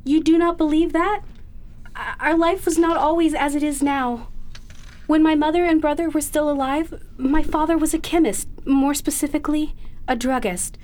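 A woman's voice: sits high, steady tone, normal speed, a little mellow, close to an everyday voice. A woman playing an earnest, desperate young daughter in a melodramatic audio drama. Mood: earnest, wounded. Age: young adult.

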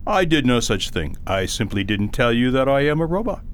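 An actor playing a polite robot mentor in an audio drama, formal, precise and unemotional. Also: male voice, medium pitch, sing-song, normal speed, slight background noise, close to an everyday voice. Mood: calm, matter-of-fact. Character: polite, businesslike robot mentor, matter-of-fact and unruffled.

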